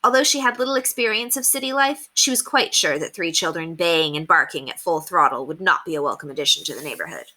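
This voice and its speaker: A woman reading a children's book aloud as an expressive storyteller. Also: lowish voice, fast talker, hardly any pauses.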